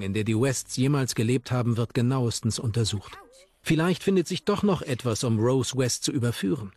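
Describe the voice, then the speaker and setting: A man's voice: mid-range voice, a little mellow, a little hiss or hum. A man reading scripted narration as the narrator of a true crime documentary.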